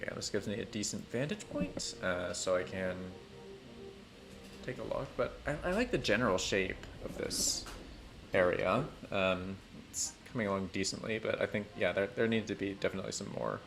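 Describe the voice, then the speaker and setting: A man chatting casually on a gaming stream, thinking aloud in a halting, rambling way with dry, self-deprecating humour. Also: lowish voice, gravelly, a bit bright, a little hiss or hum. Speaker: livestreamer playing a building game.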